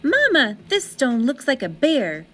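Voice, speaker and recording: Woman doing an excitable high-pitched cave child. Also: unhurried, full character voice. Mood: eager, excited. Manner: eager, pleading, exclaiming. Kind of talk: storybook character read aloud.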